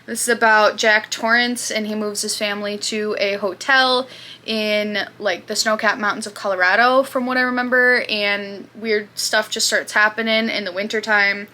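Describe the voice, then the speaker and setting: A young woman talks casually to her channel's viewers. Her voice is bright and sits at a middling pitch. She rambles a little, with fillers and asides as she goes. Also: flat delivery, a little slow. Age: 20s. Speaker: booktube host. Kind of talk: casual chat.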